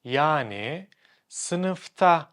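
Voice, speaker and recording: A young man with a slightly raised pitch teaches in an upbeat, clear way. He is a native Turkish speaker running a scripted online lesson, and he slows right down to spell out example phrases. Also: lively intonation, a bit bright.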